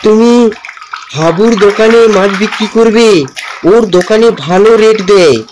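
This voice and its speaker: Loyal village helper, male actor, cartoon: friendly, somewhat high-pitched. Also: normal speed, noisy background, natural voice. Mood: friendly advising. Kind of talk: cartoon dialogue.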